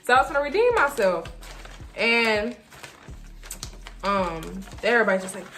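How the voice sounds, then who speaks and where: A young woman chatting casually to the camera as a vlogger, animated, slangy and emphatic, often repeating herself. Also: a little high, normal speed, soft background music. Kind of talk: casual storytime chat to camera.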